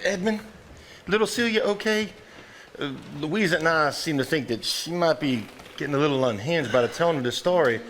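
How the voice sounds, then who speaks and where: A man's voice: fairly high pitch, animated, moderate pace, a bit bright. An actor playing a blunt, skeptical 1950s man in a live stage play. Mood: concerned. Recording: live stage recording with room noise.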